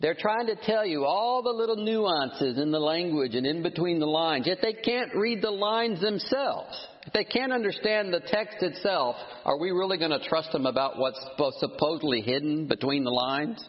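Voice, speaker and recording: A man preaching a sermon, emphatic and animated, scornful of his critics, with frequent restarts. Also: fairly high pitch, moderate pace, hardly any pauses. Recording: muffled sound with long hall reverb.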